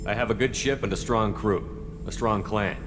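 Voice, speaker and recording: An actor playing a military starship commander in a video game, speaking in a firm, direct, controlled manner. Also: male voice, lowish voice, normal speed, natural voice. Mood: firm, steady. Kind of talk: video game character acting. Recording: long reverb, clearly noisy backdrop.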